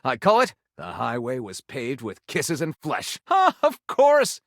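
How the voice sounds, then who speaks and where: An actor playing a theatrical, enthusiastic male author pitching his stories as a dating-sim game character. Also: huge pitch swings, a little slow, fairly bright tone, dry recording, studio quality, heavily acted. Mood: delighted, excitable. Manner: theatrical, flamboyant, dramatically announcing titles.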